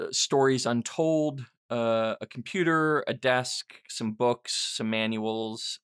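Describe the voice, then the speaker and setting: A middle-aged man with a lowish voice and plenty of vocal fry talks as a podcast host. He answers questions off the cuff in a casual way. He meanders as he thinks aloud, with a self-deprecating streak. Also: fairly bright tone. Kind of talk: casual unscripted Q&A chat.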